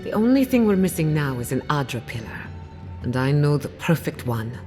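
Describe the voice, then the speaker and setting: Video game companion: confident female researcher, low register, dry wit, guarded, over music. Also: very animated, normal speed. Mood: determined. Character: driven, wry female scholar, guarded about family loss. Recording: music playing behind the voice.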